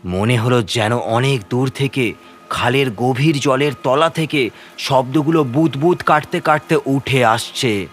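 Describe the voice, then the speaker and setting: A man's voice: medium pitch, a little slow, soft background music. A man narrating a scripted horror story in the first person, with a suspenseful, theatrical delivery.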